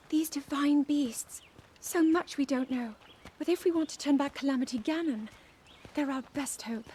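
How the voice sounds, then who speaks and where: An actress playing an earnest young princess, speaking in a formal, measured way. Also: female voice, high-pitched, warm tone, a little hiss or hum, natural voice. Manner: formal, measured ceremonial speech. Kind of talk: video game cutscene acting.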